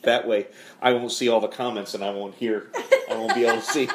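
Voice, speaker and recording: A man's voice: fairly low pitch, fairly even intonation, brisk, background noise. A man hosting a livestream in casual chat, with a self-deprecating, hesitant and trailing way of talking. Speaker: show host and singer.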